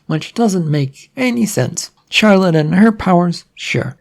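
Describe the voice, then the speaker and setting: A man's voice: on the high side, creaky, a little mellow. A man voicing a weary, sarcastic would-be hero in a story, wry, hesitant and rambling.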